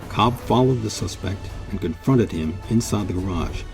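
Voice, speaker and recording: A man hosting and narrating a scripted history documentary in a measured, solemn manner. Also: on the low side, creaky, a little mellow, faint music behind. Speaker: host and narrator.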